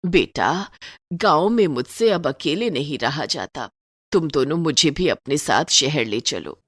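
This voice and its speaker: A woman's voice: deep voice, animated, a little slow, slightly rough, no room sound, studio quality, pitched below the performer's own voice, heavily acted. A woman voicing an elderly, trusting, long-suffering mother-in-law in a Hindi-style cartoon moral story, speaking in a plaintive, motherly way. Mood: pleading. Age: older.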